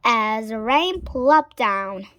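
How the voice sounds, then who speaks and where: A woman reading a children's story aloud in a dramatic way, sometimes hushed. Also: a little high, expressive, extremely slow, no echo. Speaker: storybook reader.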